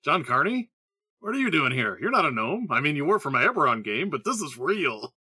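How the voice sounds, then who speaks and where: A man's voice: a little high, sing-song, fairly quick, pushed and bright, husky, dry recording, clean studio sound, full character voice. A man playing a weary, exasperated gnome in an amateur comedic fantasy audio drama. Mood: dazed, confused.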